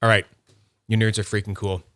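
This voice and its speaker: A man's voice: fairly low pitch, level tone, fairly quick, a bit bright, dry recording, studio quality. A man giving casual unboxing commentary, chatty and upbeat, thinking aloud as he handles and inspects small items. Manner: nerdy and upbeat. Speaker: YouTube fidget toy reviewer.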